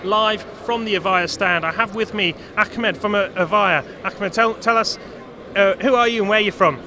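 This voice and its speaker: A man reporting live from an exhibition stand, interviewing a guest with brisk, upbeat prompts. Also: high-pitched, noisy background. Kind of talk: live trade show reporting. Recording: crowded trade show floor.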